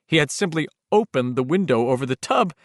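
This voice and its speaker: A man's voice: a little high, expressive, brisk, no room sound. Dry, close male horror audiobook narrator. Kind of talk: dramatic scripted horror narration.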